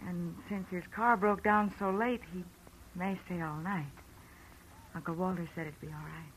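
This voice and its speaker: A woman's voice: on the low side, fairly even intonation, normal speed, a little hiss or hum, close to an everyday voice, low-quality recording. An actress playing a guarded, sullen young farm woman in a curt 1940s radio style, on an old recording. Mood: hesitant.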